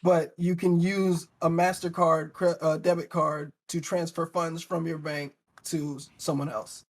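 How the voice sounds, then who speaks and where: Male panelist, slightly high pitch, informal conversational delivery. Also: little pitch movement, unhurried. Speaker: podcast panelist. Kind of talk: casual group discussion.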